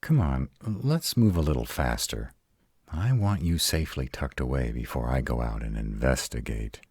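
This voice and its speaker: A man playing a gruff, protective, uptight male were-dragon in an intimate roleplay audio drama, halting and dramatic. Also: rumbling low, animated, darkish tone, dry recording, clean studio sound, full character voice. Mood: tense, puzzled. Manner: halting, dramatic, slow delivery with emphatic outbursts.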